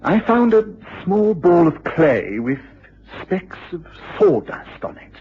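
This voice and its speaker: A man playing an agitated, excitable college lecturer with a British accent and a hesitant, pleading manner in a mid-century radio drama. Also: high-pitched, slow pace, heavily acted. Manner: anxious, pleading, hesitant repetitions. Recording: muffled, noisy old radio recording.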